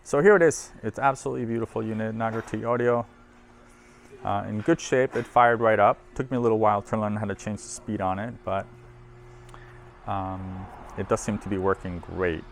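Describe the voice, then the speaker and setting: A man's voice: on the low side, fairly even intonation, normal speed, vocal fry, slight background noise. A man presenting audio equipment in a casual hands-on demo, informal and thinking aloud as he handles the machine.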